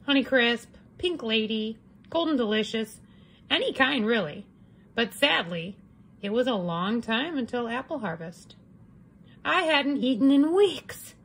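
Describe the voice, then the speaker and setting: A woman's voice: fairly high pitch, fairly bright tone, breathy edge, full character voice. A woman voicing a sly, hungry big bad wolf who tells his side with theatrical mock innocence in a children's read-aloud.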